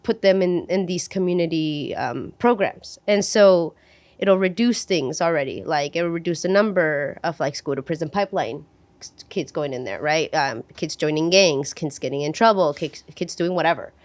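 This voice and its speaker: A woman in her thirties, a native Spanish speaker, talks earnestly and haltingly with plenty of fillers. Her voice sits a little low. It is a relaxed podcast conversation. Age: 30s. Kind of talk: casual podcast discussion.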